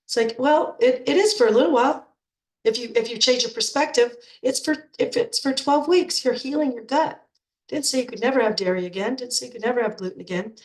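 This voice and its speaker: A woman's voice: on the high side, fairly quick, echoey room, low-quality recording. A middle-aged woman nutrition coach with a head cold, talking informally to her program members and often repeating words as she restarts. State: stuffed up with a cold.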